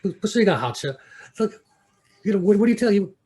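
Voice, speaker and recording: A man's voice: high-pitched, big pitch swings, dark tone. A man from New York with an American accent, talking casually as an interview guest and searching for words. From United States, New York. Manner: halting, searching for words, thoughtful.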